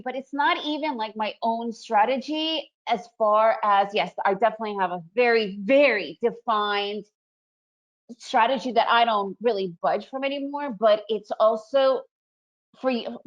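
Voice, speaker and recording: A woman, presenting a trading webinar in a chatty, upbeat way and often checking in with "right?". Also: fairly high pitch. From Soviet Union, raised in the US. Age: 40s. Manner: chatty, upbeat, frequent fillers and "right?" tags. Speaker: trading educator hosting a webinar. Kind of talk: casual presentation. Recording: dull lo-fi webinar audio.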